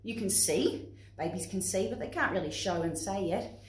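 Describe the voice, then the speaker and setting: A woman with an Australian accent presenting to young children and parents in an expressive, instructive way. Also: mid-range voice, moderate pace. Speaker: playgroup facilitator and presenter. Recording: echoey room, distant microphone.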